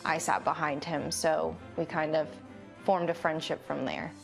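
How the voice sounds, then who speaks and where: A woman's voice: low-pitched, steady tone, a little slow, gravelly, soft background music. A woman in her twenties recollecting personal experiences in an interview. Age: 20s.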